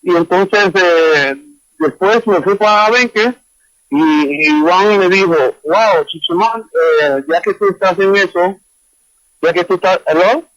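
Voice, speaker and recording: A man from Honduras rambles easily through his story, full of fillers and asides. His voice sits somewhat high. It comes over a dull, dry remote line with little room sound. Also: unhurried, no echo. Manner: relaxed, rambling with many fillers. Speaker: guest musician. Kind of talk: online class interview, casual storytelling. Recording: dry, dull lo-fi call audio.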